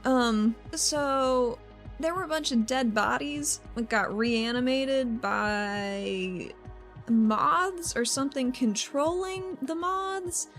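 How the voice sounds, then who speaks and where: High-voiced woman playing a tabletop game. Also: slow, fairly bright tone, soft background music. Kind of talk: casual game chat and improvised role-play.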